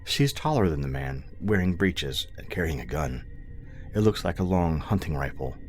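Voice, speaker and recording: A man's voice: very deep voice, big pitch swings, moderate pace. A man narrating scripted audio fiction in a dramatic storytelling style. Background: music.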